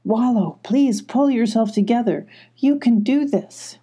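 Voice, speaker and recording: A woman voicing a caring, excitable girl adventurer in a children's story, earnest and encouraging. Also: on the high side, unhurried, husky, voice pushed up.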